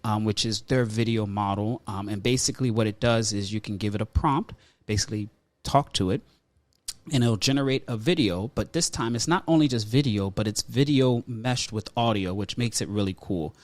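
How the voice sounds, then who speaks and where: A man's voice: lowish voice, normal speed, no echo. A man hosting a podcast, talking casually in informal slang as he banters and runs through topics. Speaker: podcast host.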